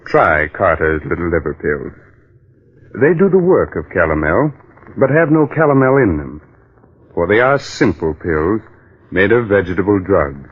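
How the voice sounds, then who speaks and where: A man announcing and hosting in old-time radio style, chatty and reassuring with a folksy sales pitch. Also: slightly rough. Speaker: announcer and host. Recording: narrow tinny old radio recording with music.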